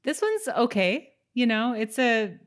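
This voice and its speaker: A woman's voice: a little high, big pitch swings, unhurried. A woman co-hosting a podcast, talking casually about a show. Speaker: podcast co-host. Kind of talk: casual chat reviewing a TV episode.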